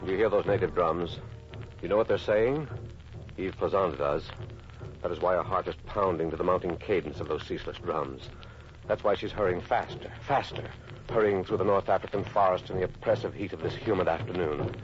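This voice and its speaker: A man's voice: sits low, brisk, soft background music, close to an everyday voice. A man playing an ominous storyteller, narrating 1940s radio horror dramatically. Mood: ominous, urgent. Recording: dull, hissy vintage radio recording.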